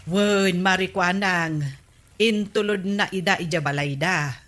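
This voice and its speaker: A woman's voice: lowish voice, a little slow, a bit bright. A woman playing a worrying village neighbour who trades gossip in a Filipino radio comedy drama, with an Ilocano accent. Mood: worried.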